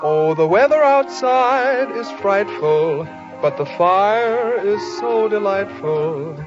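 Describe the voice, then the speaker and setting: A woman's voice: medium pitch, expressive, takes it slowly, loud music behind the voice. A woman singing a popular song in 1940s style, backed by an orchestra. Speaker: singer. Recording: muffled old radio broadcast.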